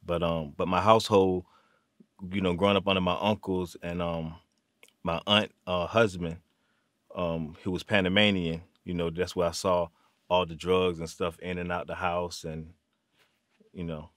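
A man, from Virginia, talking as an interview guest in a halting way full of "um"s and restarts. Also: low-pitched, little pitch movement, a bit bright, no room sound, studio quality. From Virginia, USA. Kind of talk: casual interview. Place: small studio room.

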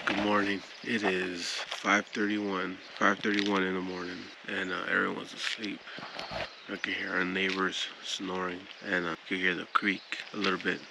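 A man in his thirties casually narrating a camping trip in a forest campground, explaining each step as he goes, recorded on an action camera outdoors. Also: low-pitched. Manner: relaxed, chatty, talks through each step. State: hushed early-morning talk. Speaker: moto camping vlogger. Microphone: action camera, chest-mounted or handheld. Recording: camera mic with outdoor noise.

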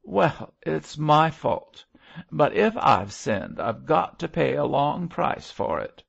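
A man's voice: on the low side, sing-song, moderate pace, vocal fry, muffled recording, exaggerated voice. A man voicing a coarse, emotional condemned criminal and father in an audiobook, with a growling, rustic working-class English accent. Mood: tearful, remorseful. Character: coarse condemned male criminal, gruff, grateful, remorseful father.

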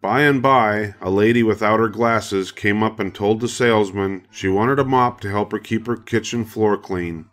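Low-voiced older man reading a storybook. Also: moderate pace, few pauses, fairly bright tone, faint music behind. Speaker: storybook reader. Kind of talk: scripted children's story narration.

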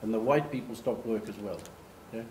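An older man from Australia, giving an animated storytelling talk at a lectern in a meeting room. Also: fairly low pitch, a little fast, a little hiss or hum. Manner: animated, gestures, acts out quoted voices. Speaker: speaker giving a slide talk. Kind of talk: storytelling presentation. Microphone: far camera. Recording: echoey room, faint ambience.

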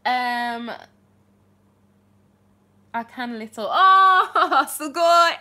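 Young woman, bubbly livestream host, chatty and animated, with a bright, slightly high voice, on a phone mic in a small bedroom. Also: drawn-out words. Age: young adult. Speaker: livestream host answering viewer questions. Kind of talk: casual live chat. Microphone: phone held at arm's length.

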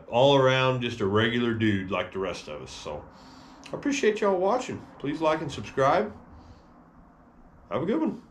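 A man hosting a car channel, talking in a laid-back, rambling, folksy way. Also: medium pitch. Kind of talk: casual vlog chat.

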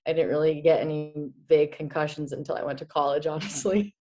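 A woman in her twenties from the Czech Republic, chatting as a guest in a video-call interview, animated and quick to laugh. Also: sits low. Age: 20s. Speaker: guest, former college hockey player. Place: small indoor room. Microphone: laptop webcam on video call.

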